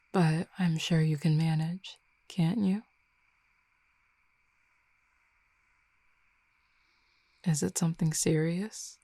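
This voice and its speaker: A flirty female werewolf, played by a woman in an intimate roleplay audio drama, speaking low with plenty of gravelly fry. She is easygoing and confident, teasing affectionately in a mellow, unhurried way. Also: dry recording. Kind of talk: intimate roleplay audio drama, casual one-sided conversation.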